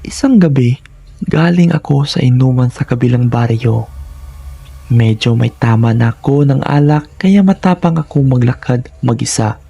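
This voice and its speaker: A man's voice: mid-range voice, moderate pace, vocal fry, mellow. A man narrating scripted first-person horror stories in a dramatic, suspenseful manner, in short phrases.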